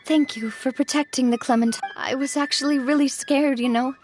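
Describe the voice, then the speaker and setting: A woman voicing a high-voiced young leader. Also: fairly even intonation, brisk, darkish tone, faint music behind, close to an everyday voice. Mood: shaken. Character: young woman leader, warm but shaken. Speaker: boss of a group.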